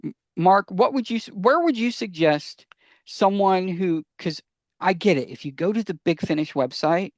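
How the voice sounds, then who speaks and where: A man's voice: high-pitched, animated, moderate pace, dry recording, studio quality. A man co-hosting a casual fan discussion, speaking conversationally and addressing listeners directly. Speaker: podcast co-host.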